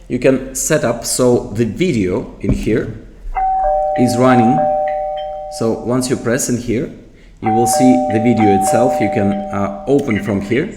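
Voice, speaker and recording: A man giving an unscripted product tutorial in a halting, explanatory way. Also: on the low side, dark tone. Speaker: product demonstrator.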